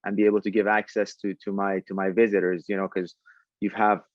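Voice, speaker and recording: A man giving conversational answers as a podcast guest, speaking in a halting way with frequent pauses. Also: lowish voice, little pitch movement, no echo, lo-fi sound. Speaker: podcast guest, tech entrepreneur.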